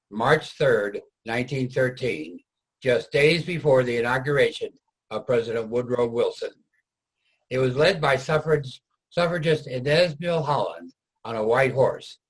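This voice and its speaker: A man's voice: mid-range voice, a little slow, breathy edge, low-quality recording. A man reading scripted historical narration for a reader's theater production.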